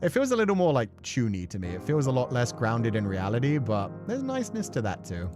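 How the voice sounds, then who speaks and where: A man's voice: medium pitch, wildly swooping pitch, fairly quick. A man livestreaming in a casual, rambling, thoughtful way, swearing now and then as he chats with viewers. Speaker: streamer and voice actor who plays visual novels. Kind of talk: casual livestream chat, reading and answering viewer comments. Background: music.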